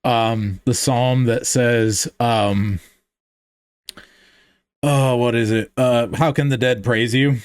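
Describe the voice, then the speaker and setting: A man's voice: mid-range voice, steady tone, slow, long pauses, clean tone, dry recording, clean studio sound. A man hosting a podcast, talking through theology in a casual, searching way with lots of fillers and restarts. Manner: thinks aloud with many fillers and restarts. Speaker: podcast host.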